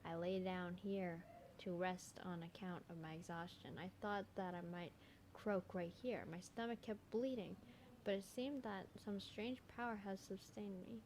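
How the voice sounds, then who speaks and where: A woman's voice: medium pitch, fairly even intonation, normal speed, darkish tone, a little hiss or hum, poor audio. A woman game streamer giving informal, reactive let's play commentary. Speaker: streamer playing a video game.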